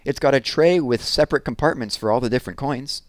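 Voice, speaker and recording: A man's voice: mid-range voice, fast, dry recording, pitched above the performer's own voice, close to an everyday voice. A man voicing an eager young girl who pleads and insists in a raised voice.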